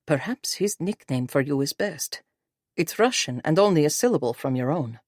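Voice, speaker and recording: Woman reading a low, guarded husband. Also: lively intonation, moderate pace, darkish tone, dry recording, clean studio sound. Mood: guarded, firm. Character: cautious, firm young Russian husband, quietly persuasive. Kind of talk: audiobook character voice.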